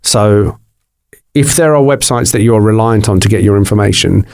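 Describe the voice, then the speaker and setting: Gravelly-voiced man rambling as a guest. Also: fairly low pitch, moderate pace, darkish tone. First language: Greek. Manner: rambling, with many restarts and fillers. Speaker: guest, TV presenter and journalist. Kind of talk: casual interview chat.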